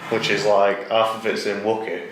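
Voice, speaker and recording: A man's voice: lowish voice, little pitch movement, normal speed. A man in his twenties in a casual co-hosted chat, giving blunt opinions in everyday language. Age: 20s. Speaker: co-host. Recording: very echoey room, distant mic, faint noise.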